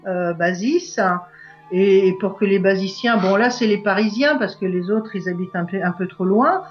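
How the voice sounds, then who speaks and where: A woman talks casually in an interview, pausing and restarting as she looks for words. The recording is echoey and dull, with faint music underneath. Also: medium pitch. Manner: halting, searches for words. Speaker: interviewee, club event organiser.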